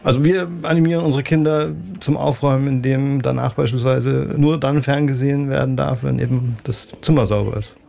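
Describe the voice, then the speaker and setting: A man's voice: mid-range voice, steady tone, a little fast, gravelly, hardly any pauses, mellow. A man in his forties, an engineer, explaining things in a matter-of-fact way. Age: 40s.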